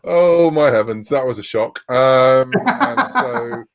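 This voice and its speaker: A man's voice: medium pitch, lively intonation, slow. A man from Bristol with an English accent, chatting casually as an interview guest in a wry, self-deprecating way. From Bristol, England. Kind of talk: casual anecdotal chat.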